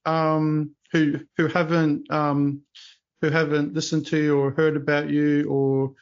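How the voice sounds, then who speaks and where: A man's voice: on the high side, lo-fi sound. A man hosting a podcast interview, chatty and rambling with lots of restarts and fillers. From Australia. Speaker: podcast host. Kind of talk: casual interview chat.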